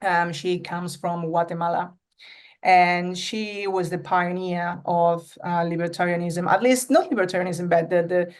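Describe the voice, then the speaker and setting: A woman in her thirties with an Argentine accent speaks as a podcast guest. Her voice sits somewhat low for a woman, and she talks in an earnest, casual way. Her Spanish-speaking roots come through as she halts on fillers and restarts while finding her words. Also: fairly even intonation, measured pace. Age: 30s. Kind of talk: casual interview conversation.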